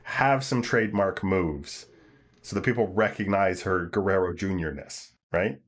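A man's voice: low-pitched, unhurried. A man hosting a podcast in casual chat, laughing often and restarting his phrases. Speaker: podcast host.

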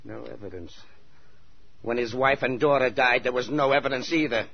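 An actor playing a dogged, uneasy police inspector, speaking in hesitant but insistent phrases in a 1940s radio drama. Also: male voice, mid-range voice, normal speed, gravelly, natural voice. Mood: brooding, insistent. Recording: muffled 1940s radio broadcast.

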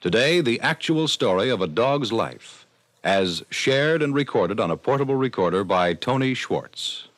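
A man's voice: mid-range voice, big pitch swings, unhurried, a bit bright, dry recording. A man announcing a radio programme in a formal 1950s style. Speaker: series announcer.

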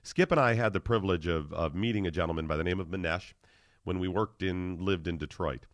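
A male talk radio host with a fairly low voice speaks in a studio. He is effusive and tends to repeat his words as he starts each thought. The sound is dry and close to the mic. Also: brisk, dry recording.

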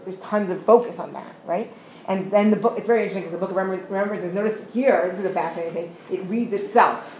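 A woman's voice: medium pitch, darkish tone. A woman leads a class discussion, praising students and often checking in with "right?". The recording carries an echoey room and a faint background hum. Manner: encouraging, quick, full of praise and "right?". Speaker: teacher leading a study session.

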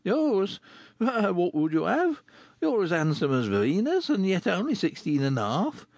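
A man voicing a rough male street tough in an audiobook, ironic and teasing. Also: sits high, wildly swooping pitch, a little slow, a little mellow, no room sound, voice pushed up, exaggerated voice. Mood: mocking.

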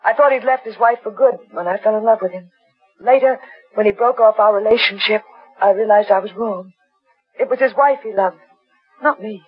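A woman's voice: mid-range voice, moderate pace, breathy edge, faint music behind, natural voice. An actress playing a remorseful, defensive woman under suspicion, earnest and dramatic, in 1940s radio melodrama. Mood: defensive confession. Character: remorseful, defensive young woman suspected of murder. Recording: muffled, dull 1940s radio recording.

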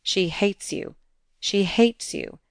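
A kindly, easygoing gentleman of period fiction, voiced by a woman audiobook reader. He coaxes gently and repeats his phrases to smooth things over. The recording is very dry. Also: mid-range voice, very animated, takes it slowly, no room sound, full character voice. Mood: quiet, firm. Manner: gentle, coaxing, repeats himself. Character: genteel easygoing man, kindly, coaxing peacemaker.

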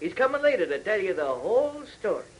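1940s radio drama henchman: mocking, menacing, slangy wise guy, lively higher-set voice. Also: fast, breathy edge, full character voice, low-quality recording. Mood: smug, sneering. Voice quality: slangy tough-guy speech. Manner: mocking, menacing, chuckling taunts. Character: sneering young-to-middle-aged male thug guard, taunting wiseguy. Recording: old 1940s radio recording.

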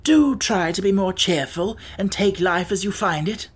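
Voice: mid-range voice, animated, moderate pace, slightly rough, voice pushed up. A cheerful living scarecrow from a storybook, put on by a narrator, talks in a lifted voice. It is kind and encouraging, with a proud streak. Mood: kindly, encouraging. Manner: kind, encouraging, self-assured.

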